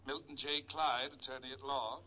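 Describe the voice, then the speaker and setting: A man acts an officious attorney in a vintage radio sitcom, sarcastic and formal toward his staff. His voice is forceful and bright. The recording is muffled. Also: mid-range voice, fairly even intonation, moderate pace, slight background noise, lightly acted. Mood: curt, exasperated. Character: exasperated, officious male attorney boss. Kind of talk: 1940s radio sitcom acting.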